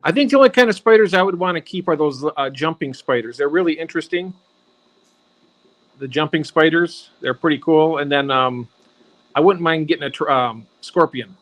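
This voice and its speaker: Laid-back male host, slightly high voice, encouraging. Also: normal speed, fairly bright tone. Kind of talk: casual chat.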